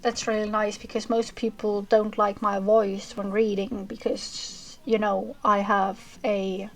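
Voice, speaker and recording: Slow-talking woman streamer, rambling chat. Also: medium pitch, faint music behind, rough recording. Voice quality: monotonous reading voice. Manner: chatty, rambling with fillers. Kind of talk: casual chat ending a livestream.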